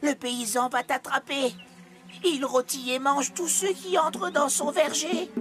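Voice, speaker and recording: A woman voicing an eager, naive, timid young male hedgehog in a children's cartoon, earnest and excitable. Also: high voice, unhurried. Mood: anxious. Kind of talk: French children's cartoon dialogue. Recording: music under the voice.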